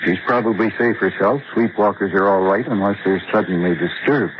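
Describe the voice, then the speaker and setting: An actor playing a grave, knowing doctor at a jungle outpost in an old radio horror drama, explaining in a measured, serious way. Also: male voice, fairly low pitch, lightly acted. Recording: noisy, muffled old radio drama recording.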